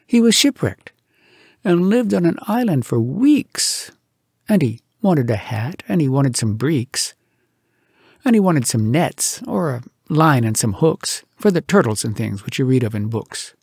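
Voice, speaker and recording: Male storyteller for comic poetry: raspy, fairly high voice, expressive and theatrical, recorded close and dry. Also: dry recording. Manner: theatrical, animated delivery with dramatic pauses. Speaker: storyteller reading poems aloud.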